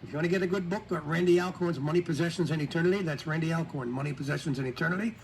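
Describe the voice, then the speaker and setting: A man's voice: fairly high pitch, very fast, gravelly. A man hosting a talk radio show, speaking fluently in emphatic lists, heard through a radio speaker. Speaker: financial talk radio host. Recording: radio playback, faint background noise.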